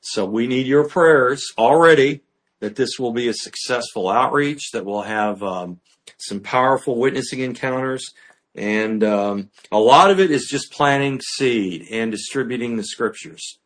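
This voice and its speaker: Earnest pastor preaching through a built-in mic. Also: male voice, mid-range voice, a little slow, a bit bright. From United States. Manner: earnest, emphatic preaching with frequent "uh" fillers. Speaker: pastor teacher. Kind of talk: sermon-style livestream teaching. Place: indoor room with natural acoustics. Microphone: built-in mic, no external microphone.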